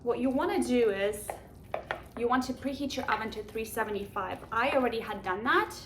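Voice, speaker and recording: A woman with a Polish accent hosts a home cooking demonstration, walking through each step with chatty enthusiasm. Her voice sits a little high. The recording is echoey, with clear background noise from the kitchen around her. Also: fairly quick. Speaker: cooking show host.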